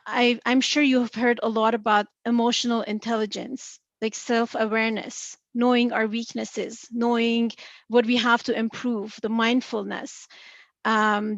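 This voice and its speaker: A woman's voice: a little high, no echo, highs cut off. A woman with a noticeable accent on a virtual panel, explaining earnestly with examples and many fillers over a video call. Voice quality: noticeable non-native accent. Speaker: panellist in finance.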